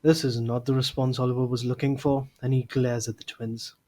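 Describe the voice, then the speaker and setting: Guy narrating an audio drama off a script, husky medium voice. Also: mid-range voice, flat delivery, brisk, mellow.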